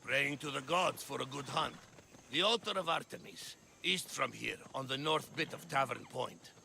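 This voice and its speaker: Gruff video game rebel, super gravelly, clipped talk, bit of ambient noise behind. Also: male voice, mid-range voice, normal speed, sharp tone, exaggerated voice. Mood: matter-of-fact. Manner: clipped, terse.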